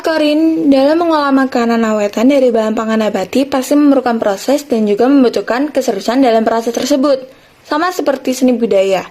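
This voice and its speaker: Slightly high-pitched young woman. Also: darkish tone. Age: young adult. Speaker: student podcast co-host. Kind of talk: scripted educational conversation.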